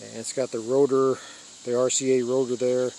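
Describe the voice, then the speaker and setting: An older American man giving a casual, unscripted show-and-tell in halting short phrases, recorded outdoors on a handheld device. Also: medium pitch, level tone, dark tone, a little hiss or hum. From United States. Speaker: radio hobbyist giving a tour. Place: open rural backyard, outdoors. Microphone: handheld phone or camera mic.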